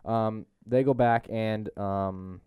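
A man hosting a podcast, retelling a film in casual, excitable slang. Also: fairly low pitch, takes it slowly, smooth, no room sound, phone-quality sound. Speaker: podcast host. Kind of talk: casual movie review chat.

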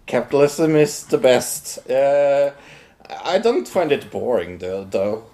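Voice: medium pitch, expressive, takes it slowly, warm tone. A podcast co-host chatting casually and teasing the others. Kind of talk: casual chat.

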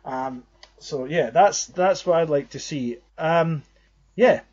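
A man's voice: on the high side, slow, darkish tone. A young man co-hosting a podcast, talking in an earnest, rambling way. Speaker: podcast co-host. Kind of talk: casual podcast chat. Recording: slightly muffled lo-fi audio.

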